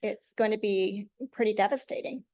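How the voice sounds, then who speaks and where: A woman's voice: medium pitch, fairly even intonation, normal speed, darkish tone, dry recording. A woman presenting to a city council, answering questions in an earnest, concerned, conversational way.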